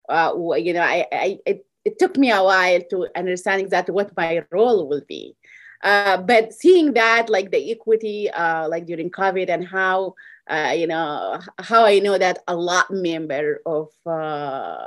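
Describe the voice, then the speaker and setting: A woman from Sudan, guest on a public health podcast, who talks in a halting way with many fillers and restarts. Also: on the low side. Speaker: executive director of a worker center.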